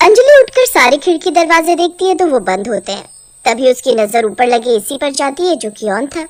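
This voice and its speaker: A woman's voice: high-pitched, sing-song, normal speed. A woman narrating a scripted family story as a storyteller.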